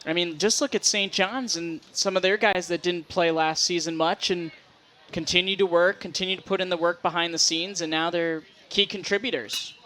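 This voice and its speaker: A man with a high, bright, forceful voice talks through baseball as a co-commentator. His analysis is conversational, over faint background noise and ambience. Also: fairly even intonation, normal speed. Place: sports broadcast at a baseball game.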